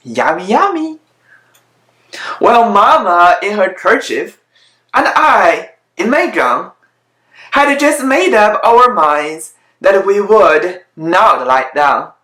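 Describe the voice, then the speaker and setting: A woman giving a dramatic reading of children's verse from a picture book, pausing between phrases and adding little asides. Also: mid-range voice, fairly bright tone. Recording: echoey room.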